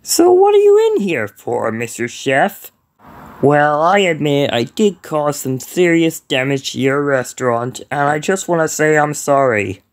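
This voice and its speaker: A man performs a cranky, easily exasperated cartoon creature. The voice is gravelly and crackles with fry. It moves slowly, stretching words, then leaps around in pitch as mutters turn into outbursts. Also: big pitch swings, dry recording. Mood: hesitant. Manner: drawn-out, exclamatory, swinging from mutters to outbursts. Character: grumpy, easily exasperated male cartoon creature, Mr Red Head. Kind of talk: solo cartoon character voicelines.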